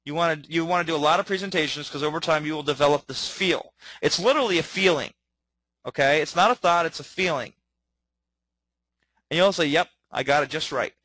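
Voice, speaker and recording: High, bright-voiced man teaching eagerly. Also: fairly quick, no echo, rough recording. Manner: energetic, keeps asking okay and all right. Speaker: webinar trainer. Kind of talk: recorded webinar teaching.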